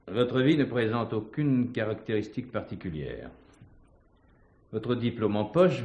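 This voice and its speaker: A man playing a shrewd, assured police commissioner in a theatrical mystery, measured and ironically courteous. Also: on the low side, darkish tone. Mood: methodical, accusing. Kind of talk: French stage mystery acting.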